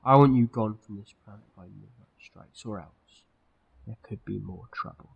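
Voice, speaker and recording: A man's voice: on the low side, moderate pace, gravelly, breathy edge, lo-fi sound. A man playing an eccentric, moralising alien time traveller in an audio drama, speaking earnestly and emphatically. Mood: warning, wry. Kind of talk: fan audio drama acting.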